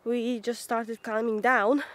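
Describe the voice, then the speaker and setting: Chatty, giggly woman with a bright voice. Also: fairly high pitch, little pitch movement, slow. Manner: chatty, giggly, thinks aloud. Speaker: homesteading vlogger. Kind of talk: casual vlog chat. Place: woodland outdoors, then home kitchen. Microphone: camera mic, handheld close then fixed camera.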